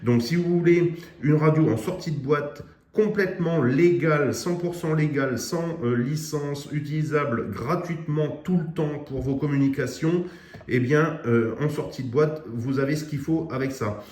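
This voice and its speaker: A man's voice: a little high, normal speed, darkish tone, echoey room. A man giving a casual product review of a radio, with lots of fillers and restarts. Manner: hesitant, many fillers and restarts.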